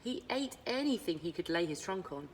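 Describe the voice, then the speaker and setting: A woman narrating a children's storybook as a librarian would, with dramatic pauses and emphasis. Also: on the low side, sing-song, slight background noise. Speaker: school librarian reading aloud.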